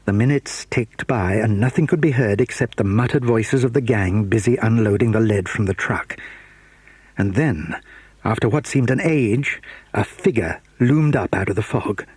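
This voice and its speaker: A man narrating a children's audio drama in measured storytelling style. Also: fairly low pitch, darkish tone, husky, dry recording.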